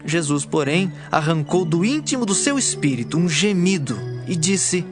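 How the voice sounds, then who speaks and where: A man giving formal, measured scripted narration for a dramatized audio Bible. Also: on the high side, animated. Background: music.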